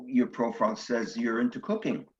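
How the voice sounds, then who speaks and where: A man's voice: mid-range voice, fairly even intonation, normal speed, close to an everyday voice. A man playing a nervous, cultured older widower in a comic one-act play, hesitant, stammering and earnest. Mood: polite, hesitant. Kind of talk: one-act stage comedy acting. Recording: dull, lo-fi sound.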